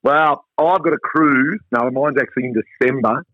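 A hoarse Australian man who runs a travel company, chatting in an interview in an upbeat, rambling way with lots of fillers. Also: mid-range voice, normal speed, dry recording. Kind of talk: casual interview chat. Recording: tinny phone line.

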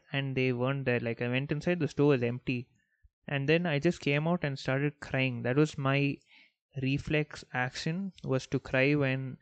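A man's voice: mid-range voice, no echo. Young man telling casual stories. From Bangalore, India. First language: Malayalam. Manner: relaxed, rambling, many fillers like "like" and "you know". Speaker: podcast host. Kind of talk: casual storytelling monologue.

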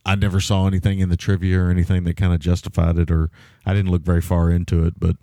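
A man's voice: sits low, flat delivery, quick pace, dark tone, no echo, studio quality. A man co-hosting a podcast, reviewing a film in casual, rambling, amused talk. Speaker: podcast co-host. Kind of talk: casual movie review chat.

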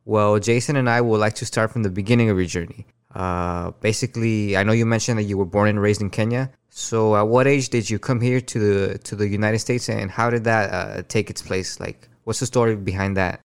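A man hosting a podcast, reading a formal guest introduction and asking questions in a measured way. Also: on the low side, fairly even intonation, clear, resonant tone. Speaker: podcast host. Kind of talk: read introduction and interview questions.

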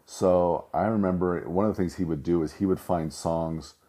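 A middle-aged man with a low voice, talking casually and rambling with restarts. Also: steady tone, normal speed, dark tone. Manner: rambling, self-correcting storyteller. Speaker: host. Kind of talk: casual monologue.

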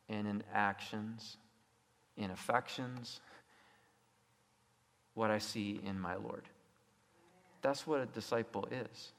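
A man's voice: medium pitch, fairly bright tone. A man preaching a sermon in an emphatic way, repeating phrases and putting rhetorical questions to his listeners. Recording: echoey hall with long reverb.

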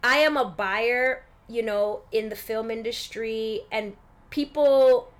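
A woman's voice: a little high, takes it slowly. A woman speaking as an interview guest in a casual, informal way, explaining things with lots of "like" and "you know" and acting out bits of dialogue. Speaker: interview guest, actress and film industry buyer.

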